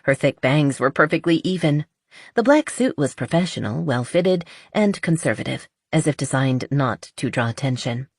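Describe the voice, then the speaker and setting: Low-pitched woman narrating an audiobook. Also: expressive, normal speed, husky, no room sound, studio quality. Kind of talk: scripted narration of a romance novel.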